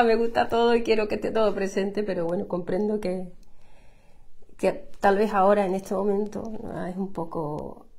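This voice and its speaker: A woman's voice: mid-range voice, a little mellow. A middle-aged woman from Andalusia with an Andalusian accent, chatting effusively with viewers on a home livestream, with many restarts and fillers. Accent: Andalusian Spanish. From Andalusia, Spain. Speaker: singer-songwriter hosting a livestream. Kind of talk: casual livestream chat with viewers. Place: living room at home, indoors. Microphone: laptop or phone camera at close range.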